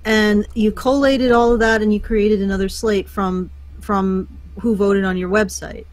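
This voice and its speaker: A woman hosts a podcast and interviews a guest in a casual tone. She hesitates often and fills pauses with ums. Her voice sits at a middle pitch and sounds dry and close. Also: little pitch movement, no echo. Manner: hesitant, filler-heavy. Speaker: podcast host.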